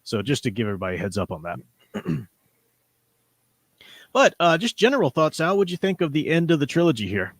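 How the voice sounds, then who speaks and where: A man's voice: a little high, sing-song, brisk, pauses often, no echo. A man hosting a casual live-stream book discussion, talking in an informal, rambling way. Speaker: host. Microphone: newly borrowed, older studio microphone.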